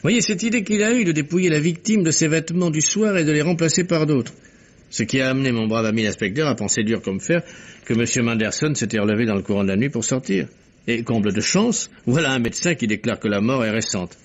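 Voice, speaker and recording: An actor playing a courteous, analytical gentleman detective in a vintage French radio mystery, with precise, theatrical delivery. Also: medium pitch, animated, fast talker, lightly acted. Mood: animated, analytical. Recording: muffled old radio drama recording.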